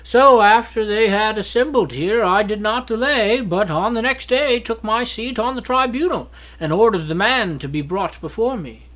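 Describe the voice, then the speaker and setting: A man in his thirties reading scripture aloud in a solemn, declamatory way with deliberate phrasing. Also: sits high, a bit bright, poor audio. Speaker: Bible reader. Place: small indoor study with bookshelf. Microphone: microphone on a stand at a lectern.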